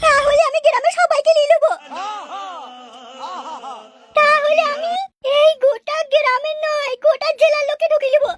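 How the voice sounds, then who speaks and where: A woman's voice: very high-pitched, little pitch movement, normal speed, long pauses, heavy background noise. A woman voicing a vain, quarrelsome village wife in a comic cartoon, whiny and boastful.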